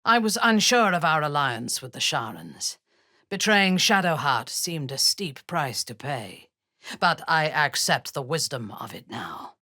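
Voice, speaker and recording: An actress playing a cold, ruthless, haughty drow commander in a video game, seductive and menacing, with a husky, breathy voice. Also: female voice, low voice, big pitch swings, unhurried, sharp tone, dry recording, studio quality, full character voice. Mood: cold, resolute. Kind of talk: dramatic video game character dialogue.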